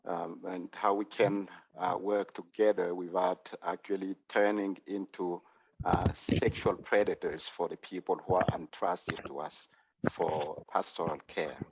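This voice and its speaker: A man with a Congolese accent, a clergyman giving a measured presentation over a video call, with many "uh" and "um" fillers. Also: low-pitched, fairly even intonation, slightly rough, dry recording. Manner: measured, with frequent "uh" and "um". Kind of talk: formal online presentation. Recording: dull, dry video call audio.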